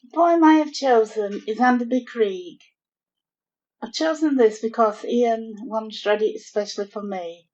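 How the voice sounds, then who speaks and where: A woman reciting poetry in a measured, deliberate manner. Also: a little high, darkish tone. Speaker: poetry reader. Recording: dull lo-fi home recording.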